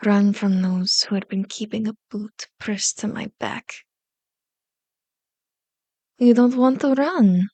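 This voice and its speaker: A woman playing a powerful elder vampire in an ASMR roleplay, seductive and taunting, with slow, deliberate, formally worded speech. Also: medium pitch, dark tone, dry recording. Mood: mock sympathy, probing. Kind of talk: ASMR roleplay, scripted monologue. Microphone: close microphone, dry recording.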